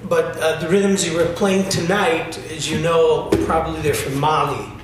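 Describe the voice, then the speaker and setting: A man's voice: on the high side, little pitch movement, normal speed. A man from Italy who teaches drumming, explaining things casually with many fillers. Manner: chatty, explanatory, lots of fillers. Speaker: drum teacher. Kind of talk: casual interview answers. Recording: echoey room, distant mic, noisy.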